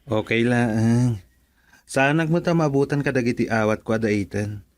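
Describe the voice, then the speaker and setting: An actor playing an easygoing but somewhat reluctant young husband in a radio drama. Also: male voice, mid-range voice, moderate pace, natural voice.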